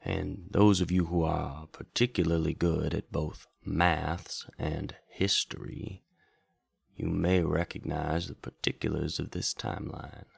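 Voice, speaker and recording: Nostalgic bard hosting a radio drama, acted by a man: husky, heavy fry, very slow and reflective, intimate late-night delivery. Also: level tone, no echo, studio quality, exaggerated voice. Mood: wistful, reflective. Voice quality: deep, gravelly, husky. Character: wandering bard radio host from a bayou, reflective, nostalgic storyteller. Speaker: radio drama host and storyteller.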